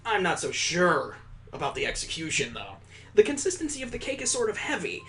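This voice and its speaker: A man's voice: sits high, huge pitch swings, a little fast, a bit bright, a little hiss or hum, exaggerated voice. A man voicing a precocious nine-year-old girl who narrates a visual novel in wordy, formal language. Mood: critical.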